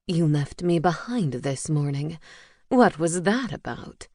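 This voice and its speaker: A woman voicing a vain, sardonic male pet owl in a storybook, talking in a dry, deadpan and self-satisfied way. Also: low-pitched, slow pace, no room sound, pitched below the performer's own voice, heavily acted.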